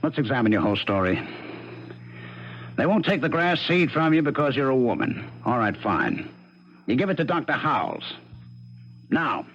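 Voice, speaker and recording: A streetwise police lieutenant in a seventies radio mystery. He is blunt and skeptical with some sympathy, swinging widely in pitch through clipped phrases. The recording is dull. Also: big pitch swings, moderate pace, long pauses. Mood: brisk, probing. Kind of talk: 1970s radio drama acting.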